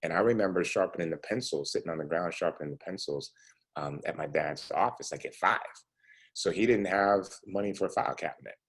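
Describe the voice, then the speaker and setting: Deep husky entrepreneur in his forties. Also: a little fast. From United States. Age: 40s. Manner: conversational, lots of "you know" and "right?". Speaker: entrepreneur guest speaker. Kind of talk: casual talk to students.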